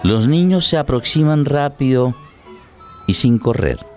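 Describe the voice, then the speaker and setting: A man delivering dramatic scripted narration for a radio play in measured, deliberate short phrases. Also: medium pitch, lively intonation, over loud music.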